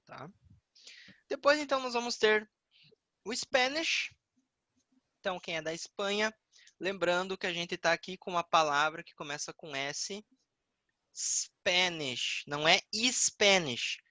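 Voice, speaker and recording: A male English teacher with a Brazilian Portuguese accent, explaining a lesson patiently and repeating words slowly. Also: high voice, pushed and bright, dry recording.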